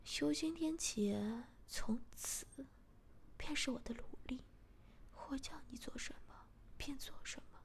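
A woman voicing a calm, domineering young man in a whispered storybook reading. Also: sits high, creaky. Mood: cold, controlling. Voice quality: whispered, measured.

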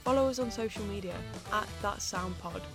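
A woman hosts a casual podcast, keeping the talk moving with short agreements and laughter. Her voice is mid-pitched, with music playing clearly underneath. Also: a little fast. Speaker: podcast host.

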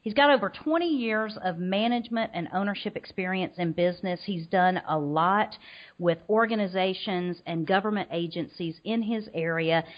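Cheerful woman hosting a podcast. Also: fairly low pitch. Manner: cheerful and chatty, with some fillers. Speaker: podcast host. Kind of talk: casual interview chat. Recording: dull lo-fi podcast audio.

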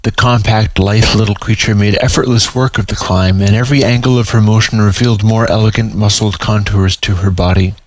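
A man's voice: fairly low pitch, fairly even intonation, brisk, hardly any pauses, no room sound, poor audio. A man narrating a piece of fiction, reading his own story aloud.

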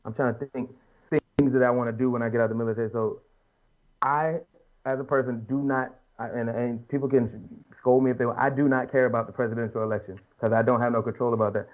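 A man's voice: mid-range voice, fairly even intonation, fast talker. A man in his late thirties talking casually and earnestly as a podcast panelist, making his points emphatically in colloquial speech. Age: late 30s. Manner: earnest, emphatic, colloquial, repeats and rephrases as he argues. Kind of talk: casual opinionated panel talk. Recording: muffled lo-fi audio.

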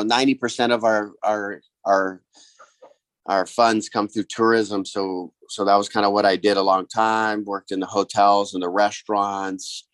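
A man from Hawaii, a guest on a podcast, talking about his life in a hesitant, reflective way with many pauses and restarts. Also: low voice, a bit bright, dry recording. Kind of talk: casual interview.

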